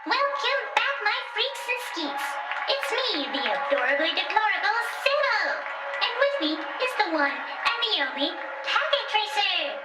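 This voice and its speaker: A very high, animated female voice hosts a cyberpunk game show with snarky glee. She is showy and flippant, echoing in an audio drama mix. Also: a little slow, heavy background noise, full character voice. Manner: showy, flippant announcer delivery.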